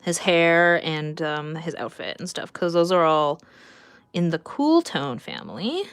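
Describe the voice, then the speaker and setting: A woman in her thirties with a slightly low voice talks in a relaxed, informal livestream style. She is chatty and giggly, moving between reading out viewer messages and answering them while she draws. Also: measured pace, clean tone. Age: 30s. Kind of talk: casual livestream chat while drawing.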